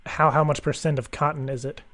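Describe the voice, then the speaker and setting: A man putting on a clueless, doting single father with a fairly high voice. He rambles through halting, repetitive questions as on a prank call, recorded close and dry. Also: flat delivery, sharp tone, no room sound. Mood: puzzled, inquisitive. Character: rambling, clueless, doting single dad asking about karate lessons. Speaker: radio show prankster. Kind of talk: improvised prank phone call.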